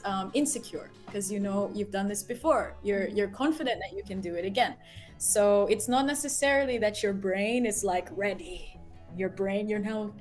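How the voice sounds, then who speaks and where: Animated woman explaining casually. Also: medium pitch. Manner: animated, explains with lots of fillers. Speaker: co-host. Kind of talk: casual chat.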